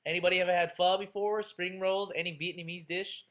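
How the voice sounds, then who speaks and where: A man's voice: sits high, level tone, brisk, a bit bright. A man in his thirties, a college instructor introducing himself to his class in a chatty, joking way with lots of fillers. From Texas, USA.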